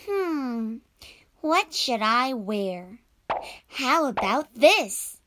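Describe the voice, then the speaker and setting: A woman voicing a sweet, curious little girl in a children's puppet show, gentle and a bit hesitant. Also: fairly high pitch, big pitch swings, extremely slow, heavily acted. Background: children's music.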